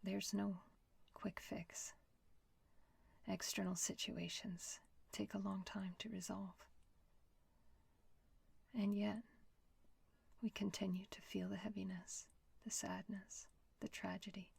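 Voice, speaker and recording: Woman, meditation guide, whispery soft voice, slow gentle delivery, close dry sound. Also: mid-range voice, flat delivery, gravelly, husky, no room sound. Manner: unhurried, gentle, with long pauses.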